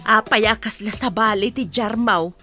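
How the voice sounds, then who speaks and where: A woman's voice: medium pitch, moderate pace, music in the background, lightly acted. A woman playing a cautious, questioning village mother in a radio drama. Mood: concerned. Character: wary village mother advising her family. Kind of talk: Ilocano radio drama acting.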